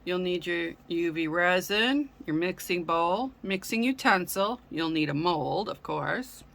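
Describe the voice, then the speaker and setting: A woman hosting a casual craft tutorial, speaking gently with frequent ums, her voice a little raspy from a sore throat. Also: lowish voice, lively intonation, a little slow. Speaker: craft channel host.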